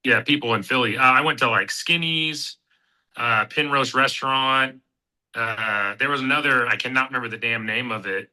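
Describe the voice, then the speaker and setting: Bright-voiced man in his thirties, rambling. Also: medium pitch, normal speed, rough recording. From Kansas City. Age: 30s. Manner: relaxed, rambling, many fillers and restarts. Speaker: guest, bare-knuckle fighter. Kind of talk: casual interview chat.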